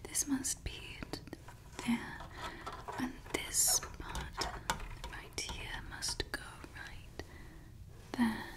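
A young woman in an ASMR roleplay voicing a doting yet menacing evil scientist, speaking in soft, close, sweetly possessive whispers into a close mic. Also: drawn-out words, long pauses. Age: young adult. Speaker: ASMR creator. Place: indoors. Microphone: close studio mic.

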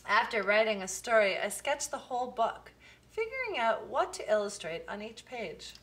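A woman reading a children's picture book aloud, with expressive, deliberate phrasing. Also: fairly high pitch. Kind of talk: storybook read-aloud for children.

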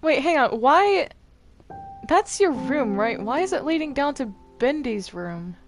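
Slow-talking high-voiced young woman gamer. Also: very animated, over music. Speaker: roleplay channel host playing a character. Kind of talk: casual chat while gaming.

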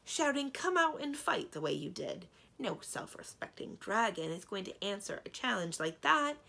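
A strong-willed young princess from a storybook, played by a woman reader. She is brisk and matter-of-fact, lecturing with emphatic swoops of pitch. The voice has a little gravel in it. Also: sing-song, bright, forceful tone, exaggerated voice. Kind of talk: storybook character dialogue.

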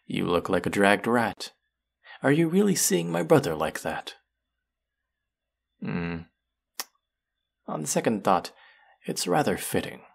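Man acting a gravelly, low-voiced vengeful fantasy villain. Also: very animated, heavily acted. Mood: cold mockery. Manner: menacing, sardonic, slow and deliberate. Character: vengeful, bitter male fantasy villain, menacing and grieving. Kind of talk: dramatic audio roleplay acting addressed to the listener.

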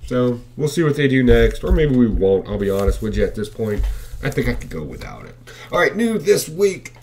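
A man's voice: medium pitch, wildly swooping pitch, a little fast, a little mellow. A man in his thirties casually reviewing comic books at home to a webcam, enthusiastic and blunt, with frequent fillers. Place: living room at home, indoors. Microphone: webcam facing him at close range.

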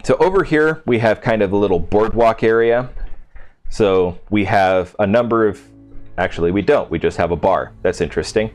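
Low voiced guy doing laid out casual game tour commentary, just thinking out loud, music behind him. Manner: informal, unscripted, thinking aloud. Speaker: video game settlement-build host. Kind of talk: casual gameplay walkthrough commentary.

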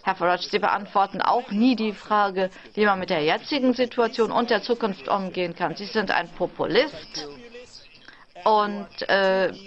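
A woman's voice: mid-range voice, unhurried, dull audio. A woman working as an interpreter, giving a simultaneous translation of a parliamentary debate.

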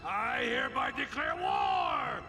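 A gleefully hostile male supervillain from a cartoon game. He proclaims in slow, shouted, wildly swooping phrases, with loud music and crowd noise behind. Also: wildly swooping pitch, gravelly, exaggerated voice. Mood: menacing, triumphant. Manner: booming proclamation.

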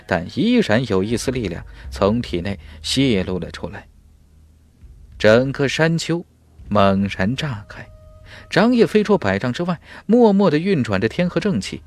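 A man's voice: mid-range voice, dry recording. A man narrating a fantasy audiobook in a dramatic, scripted storytelling style.

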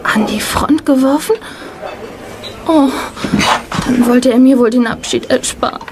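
A woman's voice: high-pitched, unhurried, very noisy. An actress playing a trusting, tender young woman in love in a radio play. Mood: anxious, sad. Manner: tender, earnest. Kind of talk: German radio play acting.

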